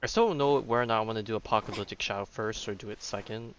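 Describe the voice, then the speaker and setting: Low-voiced man chatting over a game. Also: quick pace, a bit bright, slight background noise, low-quality recording. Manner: rambling, offhand. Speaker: game streamer. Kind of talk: casual live gameplay commentary.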